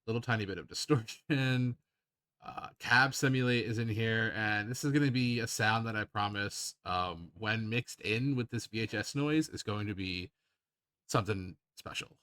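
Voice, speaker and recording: A man hosting a casual software tutorial, talking in an improvised, rambling and hesitant way. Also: lowish voice, moderate pace, dry recording, clean studio sound. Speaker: tutorial host.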